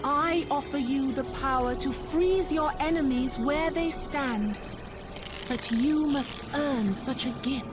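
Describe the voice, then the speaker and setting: Imperious, alluring love goddess. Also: female voice, sits high. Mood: imperious, bestowing. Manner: regal, deliberate, commanding. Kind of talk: dramatic video game acting. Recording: music under the voice.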